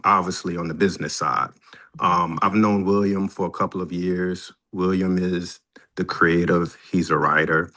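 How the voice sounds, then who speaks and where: A middle-aged man speaks with a deep voice as a producer taking questions. His delivery is conversational and somewhat halting, as if working out each thought aloud. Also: a bit bright. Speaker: film producer, panel guest. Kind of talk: conversational Q&A answer.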